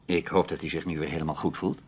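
A man's voice: a bass voice, fairly even intonation, quick pace, a little hiss or hum. A man playing a gallant, self-assured foreign correspondent in a Dutch radio play, with a jovial, theatrical way of talking. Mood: gallant, smooth.

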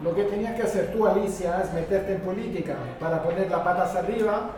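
A woman's voice: fairly low pitch, fairly quick, crowd noise, close to an everyday voice. A woman playing an indignant, blunt café customer in radio drama dialogue. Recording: very echoey, distant from the mic.

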